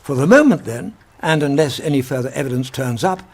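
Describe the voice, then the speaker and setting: An actor playing a kindly, logical old professor, speaking deliberately and formally in a radio drama. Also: male voice, medium pitch, expressive, husky. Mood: exasperated, reasoning. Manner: deliberate, formal, pedantic, gently exasperated.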